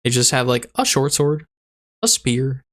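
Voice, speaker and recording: A man running a tabletop game as dungeon master, narrating in a halting, rambling way with a voice that is going hoarse. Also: medium pitch, sing-song, fairly bright tone. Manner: rambling, halting, laughs often. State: losing his voice.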